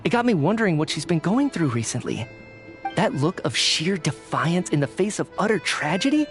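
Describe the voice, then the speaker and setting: A man's voice: a little high, very animated, moderate pace, soft background music. A man playing an earnest, apologetic, theater-loving writer in scripted video game dialogue, conversational and candid. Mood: enthusiastic.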